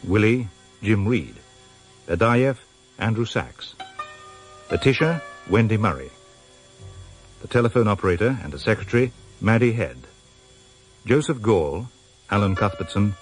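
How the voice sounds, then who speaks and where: A man's voice: on the low side, expressive, measured pace, long pauses, faint music behind. A man reading drama credits as a formal scripted radio announcement on a vintage recording.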